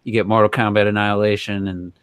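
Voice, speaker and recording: A man's voice: lowish voice, level tone, fast talker, a bit bright, clear, resonant tone, dry recording. A man chatting casually about films as a podcast co-host. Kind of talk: casual film banter.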